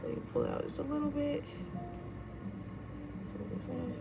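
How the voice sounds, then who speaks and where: A woman in her twenties with a raspy voice, chatting casually and rambling informally as she gives a tarot reading. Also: high voice, wildly swooping pitch, normal speed, over loud music. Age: 20s. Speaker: tarot reader. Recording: noisy room, voice a bit far from mic.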